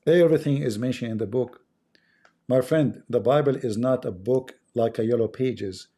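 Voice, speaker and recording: A man's voice: medium pitch, normal speed, warm tone. A man from the Middle East whose first language is Arabic, speaking English with an Arabic accent as he delivers an impassioned religious monologue on his livestream. He talks emphatically and preaches, repeating phrases for effect. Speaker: livestream host.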